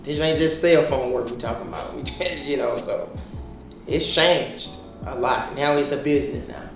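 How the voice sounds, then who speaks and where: A man's voice: mid-range voice, normal speed, creaky. A man in his twenties chatting casually and earnestly, repeating himself and often checking that he is understood. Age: 20s. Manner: earnest, repetitive, frequent tag questions. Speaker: guest. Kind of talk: casual chat between friends. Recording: very echoey room, distant mic, faint music.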